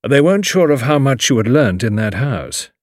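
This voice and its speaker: A man voicing a shrewd, grave older English lawyer in an audiobook, measured, precise and formal. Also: mid-range voice, big pitch swings, dry recording, clean studio sound, voice pushed up. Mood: keen, thoughtful. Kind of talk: audiobook character dialogue.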